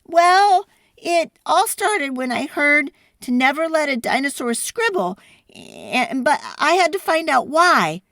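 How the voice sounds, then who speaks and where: Woman playing an excitable, high-voiced earnest kid. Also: takes it slowly, dry recording, exaggerated voice. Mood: sheepish explaining. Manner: animated, dramatic, explaining breathlessly. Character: earnest, excitable child telling a dinosaur tale.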